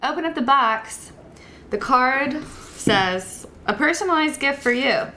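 A woman's voice: high voice, unhurried. A woman in her twenties, a podcast guest, tells a dating story casually and acts out the quotes. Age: 20s. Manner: animated storytelling, acting out quotes, often laughing.

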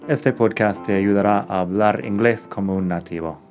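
A man hosts an English-learning podcast with a bright, salesman-like lift, his fairly low voice jumping up on greetings and plugs. Soft music plays quietly under him. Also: fairly quick. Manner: lively, promotional, swooping up in pitch. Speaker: podcast host, English teacher. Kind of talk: upbeat podcast introduction. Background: faint music.

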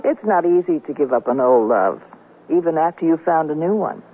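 A woman's voice: deep voice, lively intonation, fairly quick, slightly rough. A woman playing a frank, self-assured woman in love in a 1970s radio comedy drama. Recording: tinny narrow-band radio recording.

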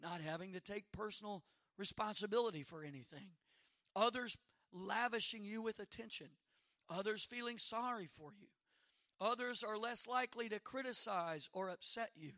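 A hoarse man preaching a sermon as pastor, stressing slow phrases and calling out to the congregation. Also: high-pitched, sharp tone, no room sound, clean studio sound. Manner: preaching with slow emphatic phrases and rising calls.

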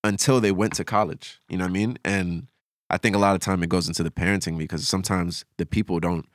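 A man's voice: low voice, fairly even intonation, fast talker, no echo, clean studio sound. A man talking casually as a podcast guest, reflective and slangy as he shares opinions.

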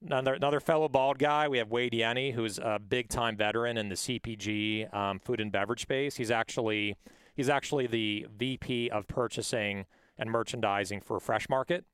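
A man's voice: medium pitch, steady tone, brisk, fairly bright tone, clear, resonant tone, no room sound. A man hosting a podcast, upbeat and encouraging, often checking in with "right?". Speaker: podcast host. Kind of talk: casual interview chat.

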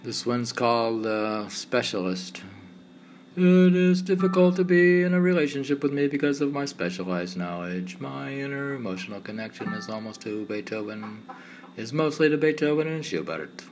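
A man of medium pitch recites his poetry. He speaks in meditative, declarative phrases broken by pauses. Music plays clearly behind him. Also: expressive. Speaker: poet reading own work. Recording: music playing under the voice. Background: background music.

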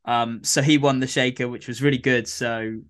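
Croaky man rambling on a podcast. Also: mid-range voice, fairly even intonation, normal speed. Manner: rambling, many fillers and restarts. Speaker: podcast co-host. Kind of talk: casual podcast chat.

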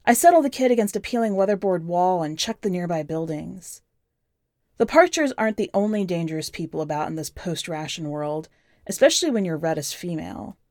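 A woman reading scripted first-person fiction as a podcast narrator, with measured, deliberate phrasing. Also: fairly low pitch, animated, darkish tone, dry recording, studio quality. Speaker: podcast host and story narrator.

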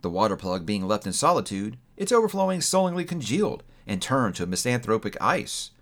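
A man reading a classic story aloud in a theatrical, measured storytelling manner. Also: lowish voice, sing-song. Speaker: book reviewer reading aloud. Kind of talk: expressive audiobook-style narration.

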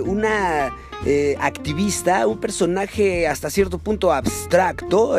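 A man with a Mexican accent hosting a podcast, talking in a laid-back, slangy way. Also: on the high side. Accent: Mexican Spanish. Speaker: podcast host. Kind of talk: casual podcast chat and solo commentary.

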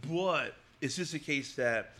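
A man's voice: fairly high pitch, animated, measured pace, noisy background. A man guesting on a casual podcast, talking in long, rambling turns. He is blunt about his subject, restarts and repeats words as he thinks, and laughs now and then mid-story. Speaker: interview guest.

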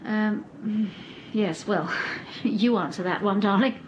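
An actress playing an observant, anxious and affectionate detective's wife in a period radio crime drama, speaking in a genteel way. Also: female voice, medium pitch, slow, dull audio, a little hiss or hum, lightly acted. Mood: curious, uneasy.

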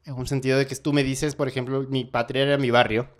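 A man with a Quito accent hosting a relaxed conversational interview. He talks in a loose, reflective way and keeps asking whether his guest is following him. His voice sits in an ordinary middle pitch for a man. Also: fast talker, clean tone. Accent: Quito Ecuadorian Spanish. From Ecuador. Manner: casual, reflective, keeps checking in with "¿cachas?". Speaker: host, musician.

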